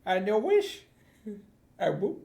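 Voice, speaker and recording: A woman joins a casual gaming chat with short, playful remarks. She talks slowly at a middling pitch, and her intonation is very animated. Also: gravelly, roomy sound. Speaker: guest in stream voice chat.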